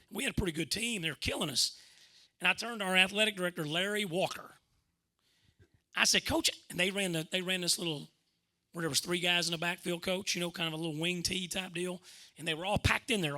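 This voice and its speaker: Middle-aged male storyteller, Arkansas Southern accent, very bright forceful voice with creaky edges, folksy and rambling. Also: a little high. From Arkansas, United States. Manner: folksy, rambling, repeats himself. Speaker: former basketball coach and commentator telling stories at a club talk. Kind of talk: animated informal storytelling.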